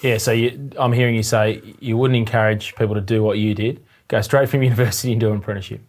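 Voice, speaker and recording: A man's voice: fairly low pitch, fairly even intonation, quick pace, a little mellow. A man hosting an interview in a conversational style. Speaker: interview host.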